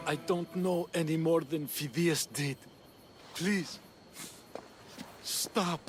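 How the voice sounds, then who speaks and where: Weary game traveler of ancient Greece: higher-pitched, earnest, frightened, music behind. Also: male voice, slow. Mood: pleading. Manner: earnest, pleading. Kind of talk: video game voice acting.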